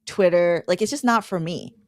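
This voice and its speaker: Woman creator chatting casually on a stream, slightly low voice, dry sound. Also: normal speed, dry recording. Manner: informal, conversational. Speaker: content creator guest. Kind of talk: casual livestream chat.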